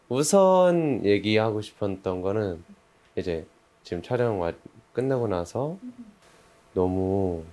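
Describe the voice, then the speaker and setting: Very low, mellow man, slow and halting. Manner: halting, unsure where to begin. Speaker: member of a creative production team. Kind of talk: casual reflective discussion.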